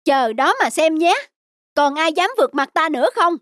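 A woman's voice: high-pitched, animated, moderate pace, a bit bright. A woman voicing an arrogant young male village scholar in a cartoon, smug and taunting, over background music. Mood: scheming. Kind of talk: cartoon dubbing.

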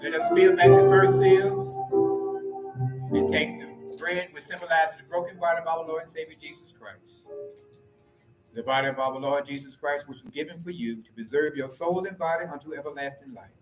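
A man serving as pastor, reciting a communion liturgy in a slow, solemn, measured way from the pulpit of a church sanctuary. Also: fairly high pitch, expressive, over loud music. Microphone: pulpit mic from far camera. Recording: roomy church echo.

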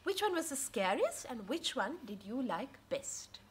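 A woman in her thirties reading a picture book aloud to children in an expressive, questioning way, outdoors. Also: on the high side, measured pace, slight background noise. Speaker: children's story reader and teacher. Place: outdoors on a home patio.

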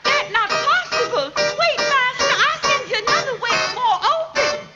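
A woman's voice: extremely high pitch, lively intonation, unhurried. An actress playing an older house servant, pleading anxiously with her master and turning harshly on a girl. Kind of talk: film acting. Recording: film sound with loud music.

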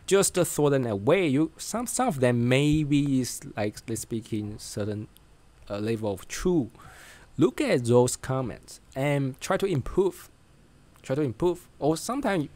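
Chinese accent guy in his 30s, mid pitch, talks slow then rushes, lots of uh and restarts, close mic in a small room. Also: a little mellow, no echo. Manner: halting, many restarts and fillers. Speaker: vlogger. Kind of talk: casual English speaking practice. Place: small bright room indoors. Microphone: studio mic close in front.

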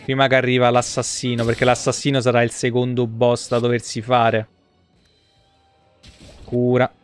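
A man commentates a game live in a clean, smooth voice. His pitch stays fairly level as he grumbles and swears casually. Music plays faintly behind him. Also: completely flat delivery, moderate pace. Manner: grumbling, foul-mouthed. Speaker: video game streamer. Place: indoor room at a streaming desk.

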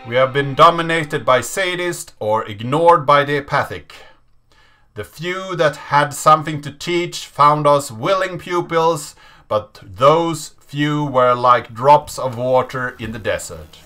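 A man in his thirties speaks in a deliberate, measured manner. His voice is bright and crisp at a slow pace, with faint music playing behind him. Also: on the high side. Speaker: host of a programming channel. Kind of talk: slow dramatic reading and presenter talk. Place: small indoor room at a desk.

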